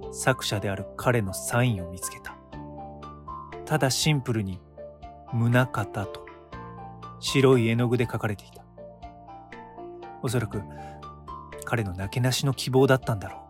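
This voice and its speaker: An actor playing a blunt art patron in a radio drama, talking brusquely and colloquially, then narrating in a hushed voice. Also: male voice, mid-range voice, close to an everyday voice. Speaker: former patron of the painter. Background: background music.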